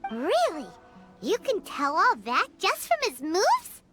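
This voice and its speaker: A woman voicing a tiny, excitable, childlike fairy companion who speaks in a squeaky, bouncy, exclamatory way. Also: slow, exaggerated voice. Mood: excited. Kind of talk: game voice acting. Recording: game music clearly under voice.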